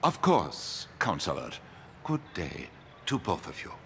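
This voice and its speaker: Give this game politician a lowish, forceful voice. He is officious and sour, drawing his words out slowly with pointed disapproval. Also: sing-song, vocal fry, a little hiss or hum, exaggerated voice. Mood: curtly sarcastic. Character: sour, officious male political advisor, resentful. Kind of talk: video game dialogue acting.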